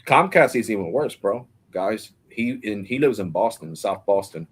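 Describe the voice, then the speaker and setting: A man's voice: on the low side, animated, normal speed, husky. A man in his forties hosting a casual live stream, rambling in slang and calling people bro. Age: 40s.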